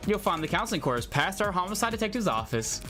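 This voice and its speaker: Man plays female AI front-desk assistant: formal, humorless, courteous, slightly raised pitch, game dialogue over music. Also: big pitch swings, fast, bright, forceful tone, exaggerated voice. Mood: flatly helpful. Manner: formal, scripted customer-service politeness. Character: polite, humorless female AI police receptionist. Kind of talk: visual novel game read-aloud.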